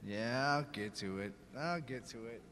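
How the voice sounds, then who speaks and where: A man's voice: medium pitch, unhurried, in a large hall, pitched below the performer's own voice, close to an everyday voice. A man mumbling as a lazy grumbling old husband.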